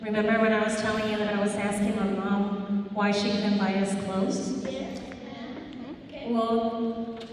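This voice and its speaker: Slow-spoken woman, echoey hall. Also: mid-range voice, little pitch movement, far from the mic, a crowd in the background. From Mexico. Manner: slow, earnest storytelling with emphatic pauses. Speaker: guest speaker at a school. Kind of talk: personal motivational speech. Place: large school hall.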